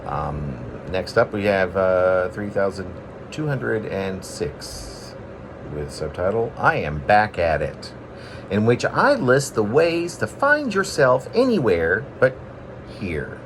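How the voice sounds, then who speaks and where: A man's voice: fairly low pitch, very animated, takes it slowly, noisy background. A man reading his own poems in an informal, rambling way, with self-deprecating asides and playful drama. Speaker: poet reading own work.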